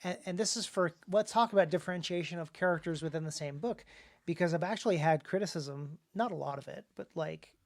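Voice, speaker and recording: A man's voice: on the high side, fairly quick. A man co-hosting a podcast and talking casually about his writing, often repeating words as he goes. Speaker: podcast co-host and author.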